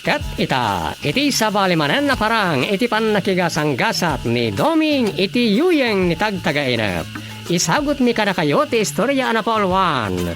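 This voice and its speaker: A man announcing a radio drama, with a drawn-out, announcing delivery. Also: high-pitched, huge pitch swings. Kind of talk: scripted show introduction. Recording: broadcast with loud theme music.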